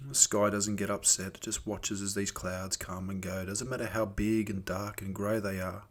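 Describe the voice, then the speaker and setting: A man with a fairly low voice guides a meditation. He speaks gently and without hurry, leaving soothing pauses between phrases as he gives each instruction. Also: level tone. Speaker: meditation teacher.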